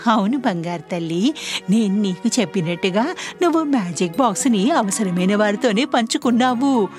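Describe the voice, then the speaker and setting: A woman voicing a wise, gentle old woman in a children's cartoon story, reassuring in manner. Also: mid-range voice, expressive, normal speed, soft background music, close to an everyday voice. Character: wise, kindly old woman with magic gift.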